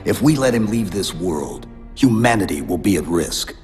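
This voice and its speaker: A resolute armored male soldier with a very deep voice and few words. He is restrained and deadpan, in a reverberant game cutscene with music. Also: normal speed. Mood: firm. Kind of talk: dramatic video game cutscene acting. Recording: long reverb, music underneath.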